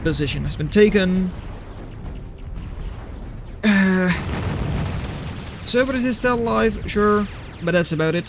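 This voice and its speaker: High-pitched male game caster. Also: slow, long pauses, background noise. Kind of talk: live esports-style replay commentary, chatting with viewers.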